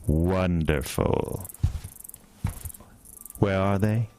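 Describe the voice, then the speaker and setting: A man's voice: deep voice, drawn-out words, darkish tone, clean tone, faint music behind. A man playing a sinister carnival master in a horror audio drama, speaking with false comfort, theatrical condescension and cool menace. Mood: falsely reassuring. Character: sinister, smooth-talking carnival master luring a boy, coolly menacing.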